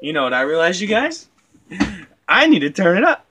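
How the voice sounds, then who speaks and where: A young man hosting a let's play, chatty and excitable, a little hoarse from being sick as he talks to his game. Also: sits high, fairly quick, background noise. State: slightly hoarse from illness. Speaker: gaming channel host. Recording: loud game music behind.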